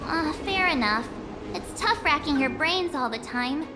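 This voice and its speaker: A woman's voice: high-pitched, expressive, unhurried. A woman voicing a chipper, curious young companion girl in a video game, with a childlike, chatty delivery over music. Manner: casual, chatty.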